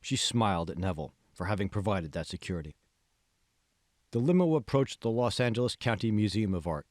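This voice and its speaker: A man's voice: lowish voice, lively intonation, fairly quick, a little mellow, no room sound. Male audiobook narrator, dry close sound. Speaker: author reading his own audiobook. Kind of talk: scripted fiction narration.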